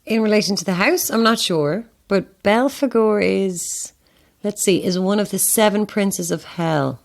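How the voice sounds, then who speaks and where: A curious Irish woman on holiday in an audio horror drama. Her mid-pitched voice is conversational and easygoing. She has a wry, thoughtful way of talking. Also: measured pace, creaky. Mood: intrigued, reading. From Ireland.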